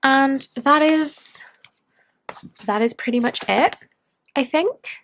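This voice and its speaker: A woman's voice: high voice, slow pace, fairly bright tone, a little hiss or hum. A woman, chatting casually as she shows her planners and journals in a vlog, bubbly and rambling. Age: 20s. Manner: bubbly, rambling, self-interrupting. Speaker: planner and tarot vlogger. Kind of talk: casual chatty show-and-tell.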